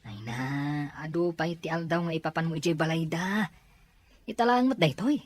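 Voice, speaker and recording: Woman playing a husky, low-voiced teasing young guy. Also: moderate pace. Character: teasing, easygoing young male office friend. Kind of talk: Ilocano radio-style drama acting.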